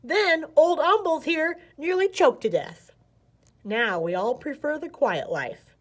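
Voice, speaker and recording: A woman's voice: sits high, animated, slow pace, voice pushed up. Woman as a gabby old storybook python. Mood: nostalgic. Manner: gabby, nostalgic. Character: old green pythons, chatty, boastful, fond of reminiscing.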